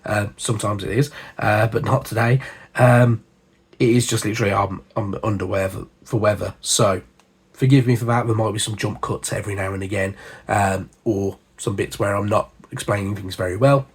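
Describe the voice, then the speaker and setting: A man hosting a hobby channel, hoarse and husky from a cold, chatting casually with lots of fillers and asides. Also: lowish voice, steady tone, normal speed. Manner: chatty, rambling, with frequent fillers. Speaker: miniature painting channel host. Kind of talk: casual hobby chat to camera.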